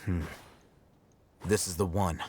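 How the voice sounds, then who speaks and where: An actor playing a serious, bookish young man in a video game, calm, deadpan and terse. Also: deep voice, takes it slowly, slight background noise. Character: serious, calm, bookish young male adventurer, unhurried and laconic. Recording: loud music behind the voice.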